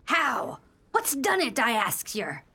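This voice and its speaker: A woman playing a taunting, cruel pirate in a comic radio play, with rough, ungrammatical seadog speech. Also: high voice, sing-song, slow pace, bright, forceful tone, exaggerated voice. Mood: startled. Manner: rough dropped-grammar pirate dialect. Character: taunting, cruel, mock-regal female member of pirate gang.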